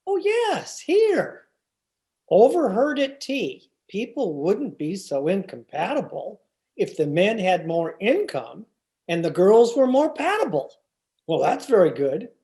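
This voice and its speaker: A woman's voice: medium pitch, sing-song, slow, mellow. A woman playing a teasing, stubborn husband in old-time radio comedy, with a theatrical, wry and exasperated delivery. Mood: amused. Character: George, teasing, stubborn husband of a 1940s housewife. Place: remote video-call production.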